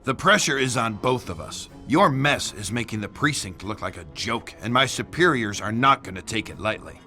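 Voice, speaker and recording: An actor playing a world-weary, suspicious police detective in a video game, speaking in a measured, grave and deliberate way. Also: male voice, mid-range voice, lively intonation, bright, forceful tone, soft background music. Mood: wry, pressured. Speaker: detective character and narrator. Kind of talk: dramatic noir-style narration and dialogue.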